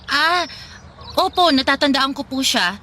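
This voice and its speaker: A woman's voice: sits high, lively intonation, measured pace, sharp tone, a little hiss or hum, exaggerated voice. A Filipino woman playing a distressed young daughter and wife in a radio drama, plaintive and protesting. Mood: hurt, disbelieving. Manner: plaintive, respectful but protesting. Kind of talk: Tagalog radio drama acting.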